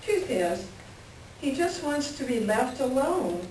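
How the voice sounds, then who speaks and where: A woman's voice: high-pitched, big pitch swings, takes it slowly, exaggerated voice. Echoey, distant woman acting a frustrated farm wife. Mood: resigned, frustrated. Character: lonely, frustrated farm wife aching to be appreciated. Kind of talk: staged play reading, conversational dialogue. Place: library reader's theater reading. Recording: echoey room from far off, faint noise.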